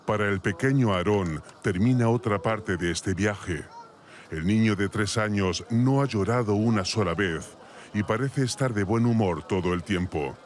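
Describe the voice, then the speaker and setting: A man reading a scripted news report in a measured, formal way. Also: fairly low pitch.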